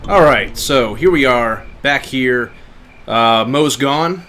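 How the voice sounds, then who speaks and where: A man's voice: mid-range voice, takes it slowly, bright, forceful tone. A man giving casual playthrough commentary as a video game streamer. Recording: game music behind the voice. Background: video game audio.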